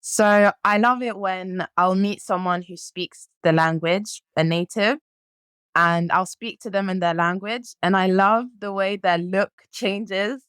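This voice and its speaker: A woman's voice: medium pitch, steady tone, a little slow, fairly bright tone, clean tone, no room sound, clean studio sound. A nineteen-year-old woman, a native French speaker from France, chatting as a podcast guest, laughing often and using lots of ums. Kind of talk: casual interview chat.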